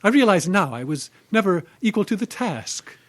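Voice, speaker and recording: A man's voice: high-pitched, big pitch swings, normal speed. A man playing a weak, genteel middle-aged father in an old-time radio mystery, with a pleading, melodramatic delivery. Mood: despairing.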